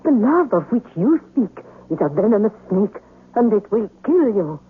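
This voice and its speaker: A man's voice: sits high, sing-song, moderate pace, slight background noise. An actor playing a loyal, deferential servant pleading earnestly with his master in a radio drama. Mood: grave, submissive. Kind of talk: old-time radio drama acting. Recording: tinny band-limited old radio recording.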